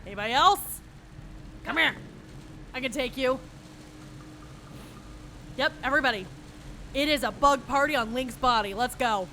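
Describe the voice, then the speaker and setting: A woman's voice: sits high, moderate pace, pauses often, bright, forceful tone, slight background noise, low-quality recording. A young woman, a little stuffy from allergies, chatting sarcastically to her viewers and the game while streaming. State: sniffly from allergies. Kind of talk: casual let's-play commentary.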